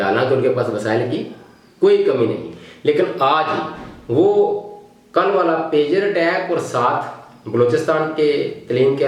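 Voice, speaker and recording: The recording rings with strong room echo. A Pakistani man with a mid-pitched voice delivers an off-the-cuff political monologue. He builds his points in short, halting phrases, thinking aloud as he goes. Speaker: news commentary vlogger. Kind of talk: unscripted political commentary monologue. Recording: very echoey, reverberant room.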